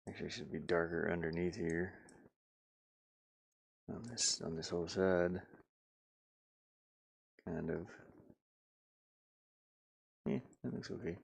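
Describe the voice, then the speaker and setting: A man giving casual, halting live commentary as he works on game art, muttering and thinking aloud. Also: deep voice, steady tone, vocal fry, slightly rough, no room sound. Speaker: streamer and hobby game designer.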